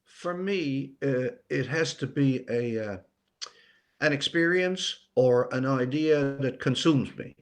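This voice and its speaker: An older man from Newfoundland answering interview questions reflectively, pausing often to search for words. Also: mid-range voice. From rural Newfoundland, Canada. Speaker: author and retired teacher as guest.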